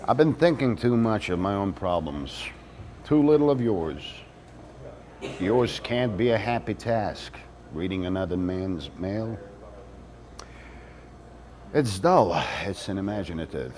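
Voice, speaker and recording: A man acting a theatrical monologue as a wry former activist, speaking deliberately with dramatic pauses. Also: on the low side, darkish tone. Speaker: actor reading a monologue.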